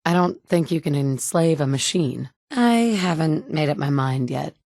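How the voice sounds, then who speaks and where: A woman's voice: sits low, normal speed, vocal fry, darkish tone, no echo, studio quality. An actress playing a determined, guarded woman survivor in a video game, speaking in a clipped, matter-of-fact way. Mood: measured, wary. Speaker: voice actor for a video game player character. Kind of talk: video game dialogue lines.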